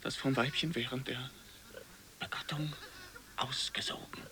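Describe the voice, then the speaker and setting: A man playing a naturalist lecturer in avant-garde radio, reciting in deliberate, chopped phrases. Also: mid-range voice, steady tone, close to an everyday voice. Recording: crowd-like din behind voice.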